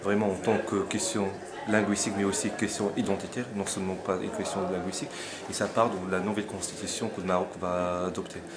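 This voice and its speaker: A man's voice: deep voice, flat delivery, quick pace, hardly any pauses. Hesitant man in his forties, crowd behind. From Morocco. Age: 40s. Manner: hesitant, restarts phrases, insists on finishing his point. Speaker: interviewee, conference attendee. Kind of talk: spontaneous debate interview.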